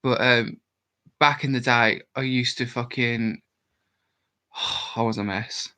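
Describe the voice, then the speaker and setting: A young man with a West Midlands twang rambles through a livestream while drunk. He answers viewers' comments aloud in loose, chatty bursts. His voice is bright and forceful. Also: mid-range voice, steady tone, slow, clear, resonant tone. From Worcestershire, England. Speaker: livestream host. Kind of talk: casual livestream chat with viewers.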